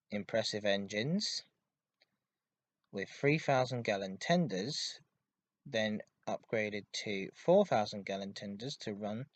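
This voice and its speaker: A man hosting a hobby podcast, giving an informative enthusiast monologue in a halting way with stressed intensifiers. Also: fairly low pitch, dry recording. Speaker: podcast host. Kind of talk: informative enthusiast monologue about railway history.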